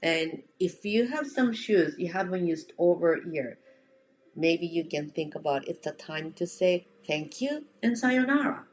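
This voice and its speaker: A woman's voice: on the low side, lively intonation, a little slow, slight background noise. A woman from Japan with a Japanese accent, giving casual, direct instruction as a home organizing coach and speaking to the listener in an encouraging, plain-spoken way.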